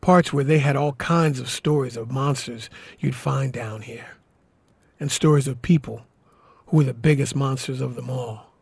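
A man's voice: mid-range voice, normal speed, creaky, darkish tone, slightly rough. An actor playing a wary, plain-spoken young male survivor, narrating and talking casually in an audio drama. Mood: uneasy. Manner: casual, colloquial storytelling.

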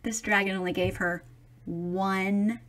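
A woman's voice: mid-range voice, fairly even intonation, takes it slowly, fairly bright tone. A middle-aged woman reading a children's picture book aloud, expressively, with chatty explanations for young listeners. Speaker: storybook reader for children.

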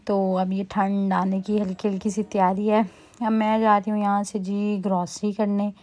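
A woman's voice: mid-range voice, little pitch movement, normal speed, darkish tone. A young adult woman narrating a shopping vlog as a casual voice-over, chatty and listing items with frequent fillers. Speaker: vlogger. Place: quiet indoor room, voice-over.

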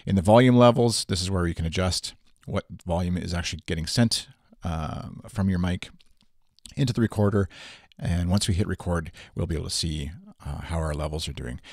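A young man with a bassy voice, talking through a software tutorial in a relaxed way with plenty of fillers, on a studio microphone. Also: dry recording. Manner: relaxed explaining with frequent fillers. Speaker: tutorial presenter. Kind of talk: casual screen-recorded software tutorial. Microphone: studio microphone through USB interface.

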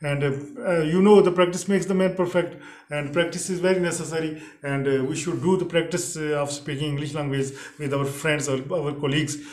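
A man's voice: on the high side, steady tone, a little fast, a little mellow. A middle-aged man, an Urdu speaker, gives a spoken English lesson straight to camera. He talks in a slightly raised register, stopping often on "uh" and starting phrases over. The room around him rings with echo. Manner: hesitant, frequent uh fillers and restarts. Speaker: English teacher. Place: indoors, plain teal backdrop. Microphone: camera in front, head and shoulders framing. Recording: echoey room.

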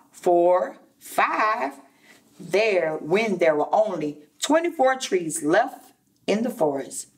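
A middle-aged woman, a preschool teacher, reading a story aloud to young children in a deliberate, explanatory way with repeated phrases and asides. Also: mid-range voice, creaky. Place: indoor classroom. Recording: distant microphone.

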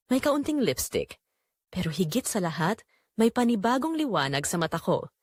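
Woman acting a resolute Filipina mother, expressive delivery. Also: mid-range voice, no echo, studio quality. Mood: quietly content. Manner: reflective, earnest, measured confessional phrasing. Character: middle-aged Filipina wife and mother, quietly resolute, self-reclaiming. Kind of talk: scripted first-person audio drama narration.

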